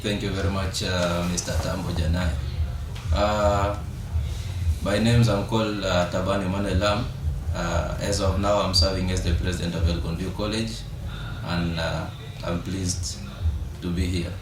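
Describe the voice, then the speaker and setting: A young man from South Sudan answers questions hesitantly, pausing often with fillers. His voice sits a little low. It comes from a distance in an echoey room, with clear noise behind him. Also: flat delivery. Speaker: interview guest, student council president. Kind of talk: casual interview answers.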